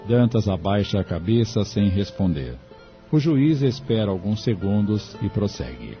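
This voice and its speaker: A man's voice: lowish voice, very animated, measured pace. A Brazilian man reading scripted narration for an audio drama. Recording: dull lo-fi audio, music underneath.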